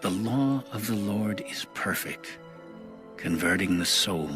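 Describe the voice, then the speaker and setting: A man giving a solemn, formal and measured reading of scripture as an audio Bible narrator. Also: on the low side, animated, music in the background.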